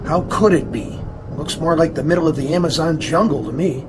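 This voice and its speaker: A man's voice: a little high, fairly quick, music in the background, lightly acted. An actor playing an uneasy, disbelieving flight crewman in a radio drama.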